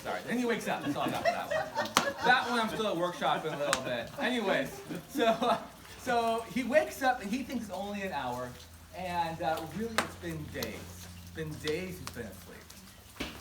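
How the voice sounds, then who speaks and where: A woman's voice: fairly low pitch, expressive, moderate pace. A woman telling a story live to a group in a casual, playful, self-deprecating way, prompting her listeners to join in. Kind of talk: interactive live storytelling for a group with audience participation. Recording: echoey, far from mic, noisy crowd. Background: crowd of listeners calling out.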